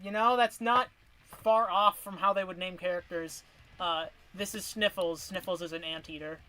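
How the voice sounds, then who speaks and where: A man's voice: sits high, steady tone, moderate pace, pushed and bright, low-quality recording. A man chatting casually on a stream, ranking cartoon characters and rambling through tangents with restarts, his voice wearing from long streaming. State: voice getting worn from streaming.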